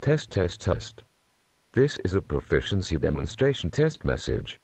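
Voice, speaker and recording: Very deep man, rough voice, scripted emergency test message, swinging intonation. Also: sing-song, unhurried, very noisy.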